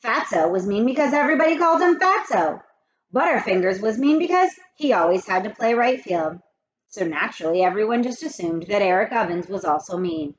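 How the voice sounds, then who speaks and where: A woman teacher reading a chapter aloud to children, expressive and talking to the kids directly between passages. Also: mid-range voice, brisk, lo-fi sound. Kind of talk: children's read-aloud with asides.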